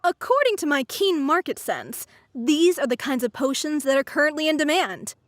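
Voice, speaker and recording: Eager, high-voiced money-loving merchant girl. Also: very animated, normal speed, slight background noise. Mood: businesslike. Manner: persuasive sales patter, prone to giggling. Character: eager, money-loving young female merchant with big ambitions. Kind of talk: video game voice acting. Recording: game music behind the voice.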